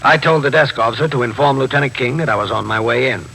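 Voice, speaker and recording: Crisp, authoritative police captain. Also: male voice, medium pitch, fast talker, sharp tone, exaggerated voice. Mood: measured, official. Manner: clipped, formal, matter-of-fact questioning. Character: businesslike middle-aged male police captain, measured and authoritative. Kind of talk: 1950s radio drama narration and dialogue. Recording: noisy 1950s radio recording.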